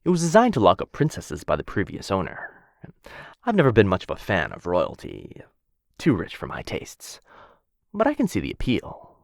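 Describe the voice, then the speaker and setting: A man's voice: on the low side, huge pitch swings, moderate pace, gravelly, no room sound, clean studio sound, full character voice. A man playing a possessive, courteous dragon captor who dotes on a human pet in a boyfriend ASMR fantasy roleplay, with a coaxing, condescendingly affectionate manner. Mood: smug, teasing. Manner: mock-polite, coaxing, theatrical, condescendingly affectionate.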